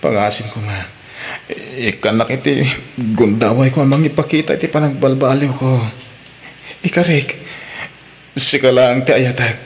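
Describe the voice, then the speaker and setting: A man's voice: mid-range voice, moderate pace, long pauses, slight background noise, lightly acted. A man with a Filipino accent playing a remorseful, pleading unfaithful husband in an Ilocano radio drama. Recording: music behind the voice.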